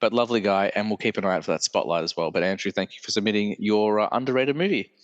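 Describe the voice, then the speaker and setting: Nasal-sounding man with a low voice. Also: quick pace, long reverb tail, muffled recording. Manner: rambling, self-correcting. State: congested from illness. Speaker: podcast host. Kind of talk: casual solo podcast chat.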